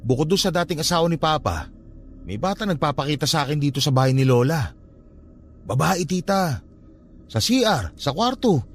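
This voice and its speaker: A man's voice: on the high side, big pitch swings, normal speed, long pauses, faint music behind. A man playing a troubled, stubborn, grieving young nephew in an emotional Tagalog radio drama. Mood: uneasy, subdued. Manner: emotional, questioning.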